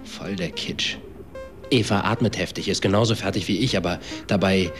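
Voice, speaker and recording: A man's voice: low-pitched, moderate pace, close to an everyday voice. An actor playing a cocky young man who recounts events in a slangy, clipped, matter-of-fact way in a radio drama. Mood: uneasy recall. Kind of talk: German radio drama acting, confessional narration. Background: music.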